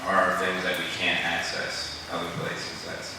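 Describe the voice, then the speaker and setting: A man who farms, talking calmly and deliberately on a garden expo panel. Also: deep voice, background noise. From grew up partly in Japan. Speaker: farmer, panelist. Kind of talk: measured panel talk. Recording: echoey hall from far off.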